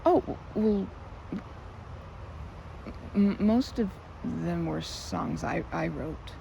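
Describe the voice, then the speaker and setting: A woman's voice: lowish voice, extremely slow, mellow, exaggerated voice. A woman playing a timid, flustered young woman in an ASMR roleplay, stammering and hesitant, rambling self-effacingly. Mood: bashful, modest. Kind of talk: ASMR roleplay, one-sided conversation. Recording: clearly noisy outdoor ambience.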